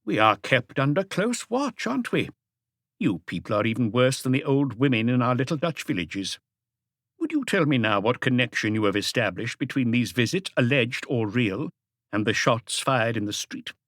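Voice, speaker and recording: A man's voice: medium pitch, sing-song, moderate pace, a bit bright, dry recording, studio quality. A man voicing a wealthy, guarded and haughty Dutch art collector in an audiobook, polite but defensive. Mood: indignant, dry. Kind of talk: audiobook character dialogue.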